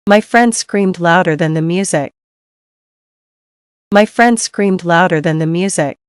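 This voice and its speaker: A woman reading English dialogue lines slowly and clearly for learners, repeating each line. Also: fairly low pitch, dry recording, clean studio sound. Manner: slow, clear, each line read twice.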